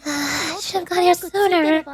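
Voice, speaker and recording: A woman's voice: very high voice, steady tone, slow, soft background music. A woman reading the lines of a cheerful young female game heroine, earnest and upbeat, as fan voice acting.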